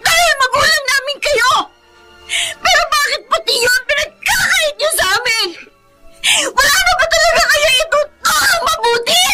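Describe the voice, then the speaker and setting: A woman's voice: extremely high pitch, moderate pace, bright, forceful tone, shouting, faint music behind, full character voice. A young woman acting as a devoted, self-sacrificing elder sister in a Filipino radio drama, with earnest, emotional delivery. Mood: tearful, pleading.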